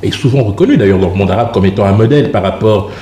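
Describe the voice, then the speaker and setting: Male interviewer, lowish warm voice, polite roundabout questions, measured formal delivery, roomy sound with slight noise. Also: a crowd in the background. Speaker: TV interview host. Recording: roomy echo, faint background noise.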